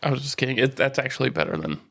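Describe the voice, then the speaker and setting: A man's voice: medium pitch, expressive, racing, a bit bright. Casual man bantering about sports. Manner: teasing, repeats himself for emphasis, casual swearing. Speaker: sports podcast co-host.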